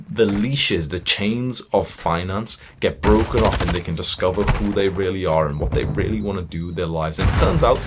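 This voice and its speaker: A man's voice: on the low side, background noise. A young man giving a blunt, stern motivational talk as a self-improvement coach, emphatic and swearing freely.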